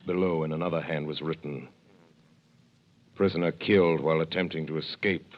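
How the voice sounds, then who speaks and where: Man, very deep voice, measured, commanding, 1930s radio narration, dull old recording. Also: steady tone. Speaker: narrator and lead actor.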